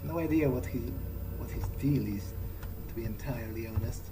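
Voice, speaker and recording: A man role-playing through a video game, thinking aloud in character in a low-key, hesitant way. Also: normal speed, very noisy. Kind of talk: in-character musing during gameplay. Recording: music behind the voice.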